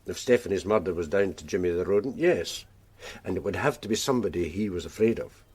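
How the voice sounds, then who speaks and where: An actor playing a sardonic, matter-of-fact police detective in a radio drama. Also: male voice, deep voice, lively intonation, fast, a little mellow, slightly rough. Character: knowing, sardonic male Glasgow detective.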